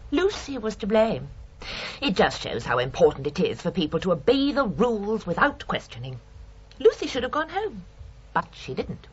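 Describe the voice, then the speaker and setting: A woman's voice: mid-range voice, very animated, normal speed, breathy edge. A woman playing a fussy, house-proud murderous landlady in a radio crime drama, with a British accent and a prim, chillingly matter-of-fact, indignant manner. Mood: prim, aggrieved. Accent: British English. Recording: dull radio recording with faint hiss.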